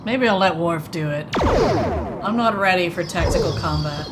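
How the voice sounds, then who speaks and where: A woman's voice: on the low side, lively intonation, unhurried, very noisy. A woman doing casual, playful Let's Play commentary as a gaming host, irreverent and sweary in her reactions. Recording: loud game music behind commentary. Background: video game music.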